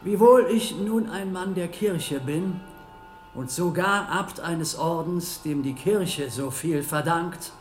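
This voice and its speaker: An actor playing a ceremonious, long-winded abbot addressing an assembly in a radio play, in an echoing hall. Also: male voice, a little high, takes it slowly, soft background music. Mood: solemn, pompous. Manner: pompous, formal.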